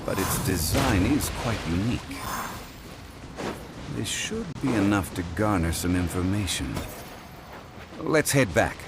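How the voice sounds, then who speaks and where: A deep, calm, dignified gentleman. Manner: measured, formal and composed. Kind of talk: video game voice acting. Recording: game audio over music.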